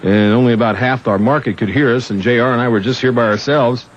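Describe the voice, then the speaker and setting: A man's voice: mid-range voice, fairly even intonation, fast. A man with an American accent hosting a morning zoo radio show with chatty banter. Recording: muffled, noisy old radio aircheck tape.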